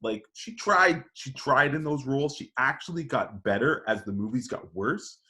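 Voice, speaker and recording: A man's voice: mid-range voice, animated, moderate pace, bright, forceful tone. A man chatting casually as a podcast panelist, with the earnest, informal emphasis of a fan. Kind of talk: casual chat.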